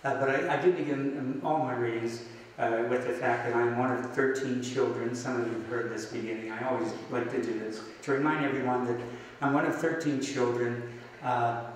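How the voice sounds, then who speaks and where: Slovak-born poet guy reading to an audience, slow and careful, lots of uhs between poems, middle-pitch voice, far off in a big echoey hall. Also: fairly even intonation. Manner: slow deliberate poem reading, chatty aside with fillers. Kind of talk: poetry reading with anecdotes. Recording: echoey hall, distant mic, faint noise.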